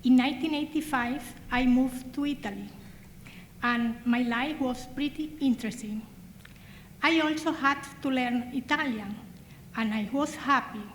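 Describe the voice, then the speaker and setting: High-voiced woman speaking slowly. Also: slight background noise. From Cuba. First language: Spanish. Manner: slow and careful, with hesitant fillers. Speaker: graduating adult ESL student. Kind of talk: prepared graduation speech. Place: large hall. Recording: echoey hall with long reverb.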